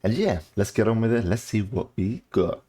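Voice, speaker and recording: A man's voice: on the low side, animated, moderate pace, mellow. A man with a mixed British accent, half British and half Cypriot, chatting casually while reacting to videos. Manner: loose, chatty, says "bro" a lot. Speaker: reaction channel host.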